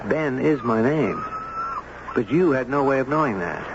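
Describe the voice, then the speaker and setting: A man's voice: mid-range voice, animated, moderate pace, close to an everyday voice. An actor playing a curious, earnest man in an old radio drama, musing and hesitant as he recalls days on the beach with his dog. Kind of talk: old radio drama acting and first-person narration. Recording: noisy, dull old radio broadcast recording.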